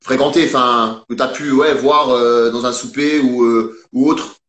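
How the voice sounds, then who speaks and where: A man's voice: mid-range voice, fairly even intonation, unhurried, a bit bright. A man in his thirties hosting a casual online interview, chatty and joking as he asks questions. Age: 30s. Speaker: host. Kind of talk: casual video call interview. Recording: roomy echo.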